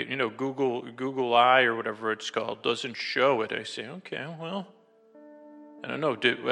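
Gravelly rambling man in heavy reverb. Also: mid-range voice. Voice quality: gravelly, creaky. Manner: meandering, halting, whimsical, with stumbles and self-corrections. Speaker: podcast host and bedtime storyteller. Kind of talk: rambling improvised bedtime storytelling. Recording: long reverb, loud music over voice. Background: loud music.

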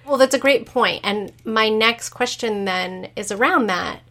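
A woman's voice: fairly high pitch, a little slow, fairly bright tone. A woman interviewing as a podcast host, conversational and informal, engaged and reflective in how she responds.

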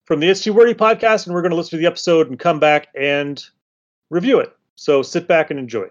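A man's voice: on the high side, fast talker, lo-fi sound. A man hosting a podcast and giving a spoken introduction. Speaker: podcast host.

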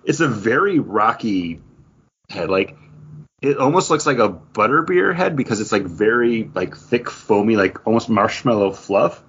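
Husky, low-voiced man chatting. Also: big pitch swings, normal speed, fairly bright tone, dull audio. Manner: enthusiastic. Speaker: podcast host. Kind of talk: casual chat.

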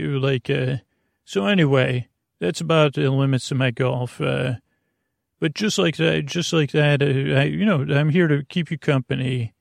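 A middle-aged man with a creaky, gravelly voice hosting a bedtime podcast, rambling haltingly with frequent restarts and digressions, recorded in a small closet at home. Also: medium pitch, no room sound, studio quality. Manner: halting, digressive, constantly restarting mid-thought. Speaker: host of a bedtime sleep podcast. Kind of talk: rambling, meandering bedtime monologue.

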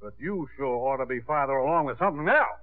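An actor playing a blunt, bossy, excitable old grandfather in a 1950s radio drama. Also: medium pitch, fast, close to an everyday voice. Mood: blunt, prodding. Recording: tinny, band-limited 1950s radio broadcast.

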